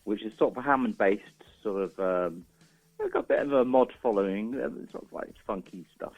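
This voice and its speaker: A man's voice: fairly low pitch, brisk. A man being interviewed as a musician guest in casual chat, hesitant and easygoing, over a narrow phone-like connection. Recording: narrow, muffled phone-like call.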